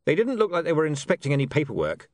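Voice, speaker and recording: A man's voice: on the high side, wildly swooping pitch, quick pace, no echo, pitched above the performer's own voice. A man doing an earnest, prim young newspaperman. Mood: insistent.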